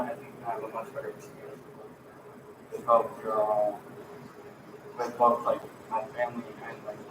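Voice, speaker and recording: Teenage boy, native Urdu speaker, halting student remarks, far-off mic, noisy room. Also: mid-range voice. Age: teens. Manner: halting, with many fillers and restarts. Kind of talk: prepared remarks at a public meeting. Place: school board meeting room. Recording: echoey meeting room, distant mic, background noise.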